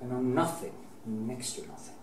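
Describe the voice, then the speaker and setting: A man's voice: mid-range voice, darkish tone. A middle-aged man with a Norwegian accent, chatting casually and rambling as he paints in his studio, heard from a camera mic some distance away. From Norway. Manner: slow, rambling, with many restarts and fillers. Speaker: painter teaching oil painting. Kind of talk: casual chat while painting. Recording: roomy and distant, faint hiss.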